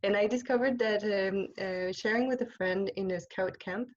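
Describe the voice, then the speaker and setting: A French-accented woman, a religious sister from France whose first language is French, giving an informal talk to an online group on a video call and often pausing to search for words. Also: mid-range voice, highs cut off. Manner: hesitant, searching for words, many pauses and restarts. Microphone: computer microphone on a video call.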